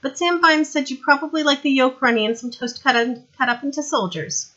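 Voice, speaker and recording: A woman voicing a brisk, cheeky young woman delivering messages in an audiobook story. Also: high voice, bright, forceful tone, lo-fi sound, voice pushed up. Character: chirpy young woman relaying cheeky messages to a watch sergeant.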